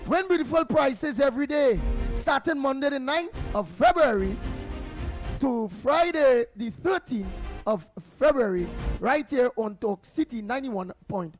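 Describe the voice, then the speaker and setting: A Trinidadian man with a hoarse voice hosting a call-in radio show, playful and sing-song as he reads promos. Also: very high-pitched, animated, unhurried, faint music behind. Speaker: radio talk show host.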